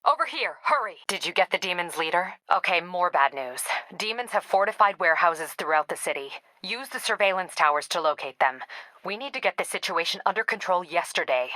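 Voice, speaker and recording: Crisp, bright-voiced no-nonsense police captain. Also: female voice, fairly low pitch, lively intonation, fairly quick, no echo, rough recording. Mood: urgent, commanding, then brisk, weary, then grim, businesslike. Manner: clipped, authoritative, dry. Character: tough, overworked, no-nonsense female police captain. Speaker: video game voice actor. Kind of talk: dramatic video game dialogue.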